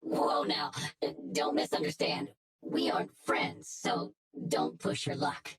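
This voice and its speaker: An actress playing a menacing, smug female hacker in a video game, taunting and commanding, with a breathy, gravelly edge. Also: slow pace, close to an everyday voice. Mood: smug, condescending. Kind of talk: English video game voice acting.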